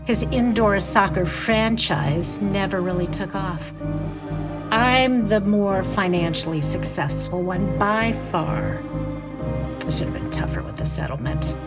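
A woman acting a weary, exasperated bus company owner in a dark comedy podcast, with a dry, self-deprecating manner. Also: medium pitch, unhurried, loud music behind the voice, natural voice. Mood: weary, confessional. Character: weary, wronged small-town woman running a bus company.

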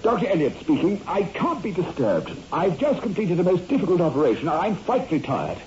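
An actor playing a tormented, brooding surgeon with agitated, melodramatic delivery in a 1960s radio horror drama. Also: male voice, high voice, big pitch swings, brisk, darkish tone. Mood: distraught. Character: tormented male surgeon obsessed with death at his hands. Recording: muffled, noisy old radio recording.

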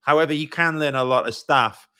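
A man's voice: on the high side, fairly quick, sharp tone, clear, resonant tone. A man with a Welsh accent hosting an interview, affirming his guest and asking long, conversational questions. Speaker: podcast host.

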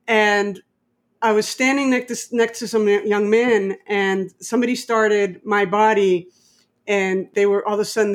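A middle-aged woman with an American accent, talking conversationally and emphatically, telling stories and giving opinions. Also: mid-range voice. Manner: emphatic, opinionated, quotes others in dialogue. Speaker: independent filmmaker and interviewee. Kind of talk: animated conversational storytelling.

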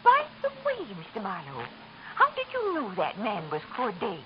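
An earnest, gentle homeowner in an old radio play, breathy and gushing. Her pitch swings very widely. The broadcast is dull and lo-fi. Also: wildly swooping pitch, moderate pace, heavy background noise. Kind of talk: 1940s radio acting.

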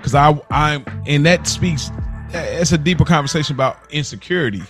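A man's voice: fairly high pitch, normal speed, fairly bright tone. A man with an American accent talks as a podcast co-host in casual, slangy conversation. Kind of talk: casual chat. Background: music under the talk.

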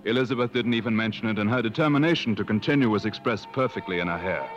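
An actor playing a reasonable, gently teasing gentleman explorer, measured and genteel, in 1950s radio drama style. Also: lowish voice, close to an everyday voice. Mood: solemn storytelling. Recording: muffled old radio broadcast with music.

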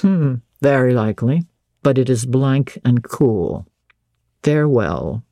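A reader voicing a brusque, brooding gentleman with a halting, musing delivery in an audiobook. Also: mid-range voice, expressive, no echo, clean studio sound, voice pushed down. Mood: brooding, dissatisfied. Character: brusque, brooding gentleman master of the house, teasingly reluctant to part. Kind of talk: audiobook character dialogue.

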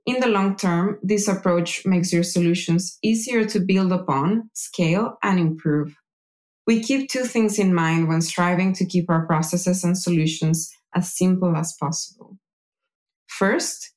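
A woman reading scripted narration in a measured, explanatory way, as an engineering manager presenting. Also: on the low side, darkish tone. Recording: music behind the voice. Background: music.

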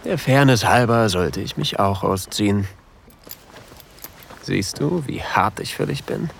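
An actor playing a possessive new lover in an intimate erotic audio drama, murmuring breathily and often whispering close to the microphone. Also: male voice, on the low side, animated, a little slow, gravelly, a little hiss or hum. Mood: tender, seductive. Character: possessive, seductive new lover, adult man, on a sailboat. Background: faint sea ambience.

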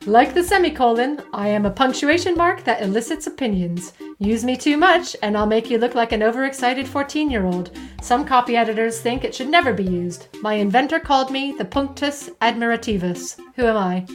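Bright-voiced woman reading a quiz. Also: a little high, expressive, a little fast, few pauses, soft background music. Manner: playful, teasing, reads questions crisply. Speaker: podcast co-host and quizmaster. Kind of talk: reading quiz questions on a podcast.

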